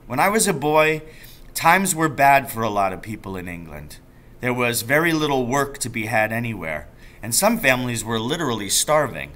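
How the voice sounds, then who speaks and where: A roguish, enthusiastic storybook father, read out by a man. He tells his tales with emphatic relish, in a bright voice that moves animatedly up and down. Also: medium pitch, sing-song, normal speed. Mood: proud, reminiscing.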